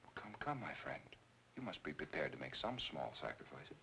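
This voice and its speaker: A man's voice: a bass voice, sing-song, brisk, creaky, bright, forceful tone, slight background noise, heavily acted, poor audio. An actor playing a suave, sardonic, menacing husband who faked his death, in 1940s film noir style. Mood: smooth, commanding. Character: sinister scheming husband faking his death, urbane, mocking, menacing. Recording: old film soundtrack with music. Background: background music.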